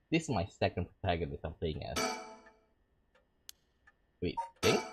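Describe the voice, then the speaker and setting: A man from Malaysia, hosting a let's-play as a VTuber, rambling with plenty of fillers between reading the game's text. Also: low-pitched. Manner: rambling, full of fillers like "like" and "you know". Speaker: VTuber gaming host.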